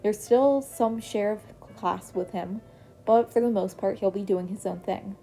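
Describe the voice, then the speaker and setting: Cast: woman reading as a blunt, tired male teacher, mellow voice, soft music underneath. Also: medium pitch, steady tone, a little slow, vocal fry. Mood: dry.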